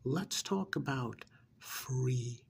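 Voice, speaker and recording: A man's voice: medium pitch, lively intonation, extremely slow, gravelly, breathy edge, exaggerated voice. A man doing an ASMR role-play as a cynical, persuasive recruiter for vampires, sardonic and full of prodding rhetorical questions. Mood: mocking, sardonic.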